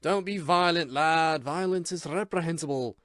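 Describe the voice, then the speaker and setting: A man's voice: fairly high pitch, normal speed, a bit bright, no echo, studio quality, voice pushed up, close to an everyday voice. A man voicing a teasing Irish friend with an Irish accent, rolling his R's and talking with mock patience. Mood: mock-patient.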